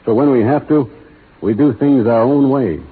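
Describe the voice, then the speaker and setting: A man's voice: medium pitch, normal speed, husky, close to an everyday voice. An actor playing a hard frontier man with his sweetheart in a 1930s radio drama. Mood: firm, grim. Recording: echoing, muffled phone-like 1930s radio recording.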